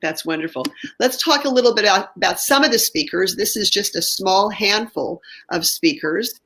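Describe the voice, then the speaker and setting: A woman's voice: medium pitch, animated, moderate pace, a bit bright, husky. A woman hosting an online interview show, chatty and enthusiastic, restarting her sentences often. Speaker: show host.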